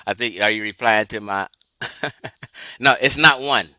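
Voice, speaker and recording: Man, emphatic livestream lecturer, very bright forceful voice, dry close sound. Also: on the low side, measured pace, dry recording. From Los Angeles, California. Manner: emphatic, lecturing, laughs often. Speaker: livestream host. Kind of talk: casual livestream monologue answering chat.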